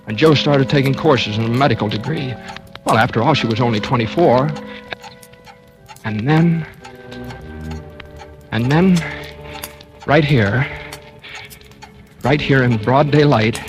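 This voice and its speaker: A man plays a doctor who narrates his own tense tale in an old radio play. His voice is mid-pitched and confiding. Clear music runs beneath the broadcast. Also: a little slow, long pauses, close to an everyday voice. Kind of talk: 1940s radio drama narration.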